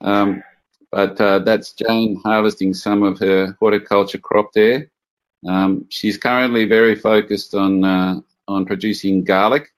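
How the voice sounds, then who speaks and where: An Australian man, a guest in an interview, answering in a measured way with many pauses over a video call. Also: on the low side, little pitch movement. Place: farm home.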